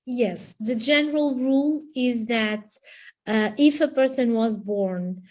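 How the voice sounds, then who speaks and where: A woman's voice: on the high side, slow, darkish tone. Woman with a Portuguese accent, lawyer, hesitant, repeats herself, video call. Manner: hesitant, frequent restarts and repetitions. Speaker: immigration lawyer. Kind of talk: webinar Q&A answers.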